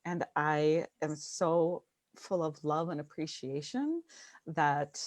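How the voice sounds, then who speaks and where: Guest, woman, low-ish slightly raspy voice, chatty and self-mocking, dry video-call sound. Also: slow pace, dry recording. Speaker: guest, light language channeler. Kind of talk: casual chat.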